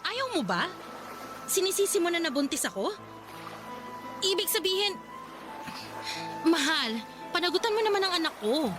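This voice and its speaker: A high-voiced pregnant young woman. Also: sing-song, normal speed, long pauses, music in the background. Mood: hurt. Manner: emotional, pleading, tearful at times. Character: young pregnant woman pleading with her lover. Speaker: voice actor. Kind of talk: radio drama acting.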